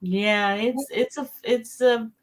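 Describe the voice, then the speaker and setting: Slow-paced, higher-voiced woman guest. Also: steady tone. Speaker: interview guest, children's author. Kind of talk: casual chat.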